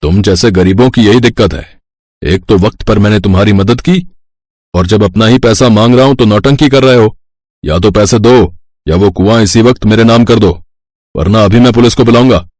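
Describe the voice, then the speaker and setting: Man playing a low-voiced greedy village headman. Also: moderate pace, darkish tone, dry recording, studio quality, lightly acted. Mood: demanding, threatening. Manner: domineering, demanding. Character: greedy scheming male village headman, bullying and sly. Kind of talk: Hindi moral story cartoon dubbing.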